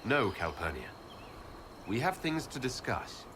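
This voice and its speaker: An actor playing a resolute elven leader in a fantasy game, speaking in a firm, measured, earnest way. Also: male voice, mid-range voice, big pitch swings, noisy background. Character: resolute young elven male leader, persuasive and challenging. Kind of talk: dramatic fantasy game acting.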